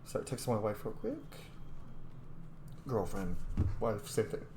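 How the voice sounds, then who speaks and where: A man's voice: on the low side, measured pace. A man giving casual, informal commentary as he streams a game playthrough, with chatty asides. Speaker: gaming streamer. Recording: roomy echo, faint background noise.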